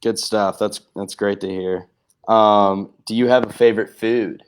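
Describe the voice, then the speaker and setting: A man's voice: fairly low pitch, steady tone, unhurried, clear, resonant tone. A man with an American accent hosting a casual interview in a laid-back, affirming way. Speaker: interview host.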